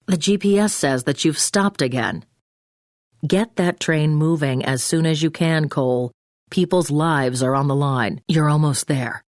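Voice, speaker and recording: An actress playing a commanding, businesslike female intelligence handler in a video game, issuing brisk field orders. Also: low voice, a little mellow, no room sound, clean studio sound. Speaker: mission handler. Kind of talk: scripted video game voice acting.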